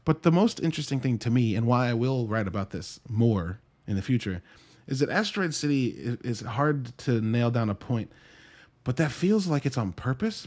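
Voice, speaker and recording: Wry man reviewing movies casually. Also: medium pitch. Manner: conversational, wry, self-interrupting with dry asides. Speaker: podcast host and movie reviewer.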